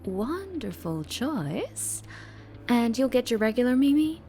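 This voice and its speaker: Lady doing a southern small town waitress, super sweet n chirpy, high bouncy voice, eager to help, asmr roleplay. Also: big pitch swings, slow, warm tone, a little hiss or hum, full character voice. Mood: chirpy, welcoming. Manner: chirpy, eager, friendly service patter. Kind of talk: roleplay ASMR audio drama.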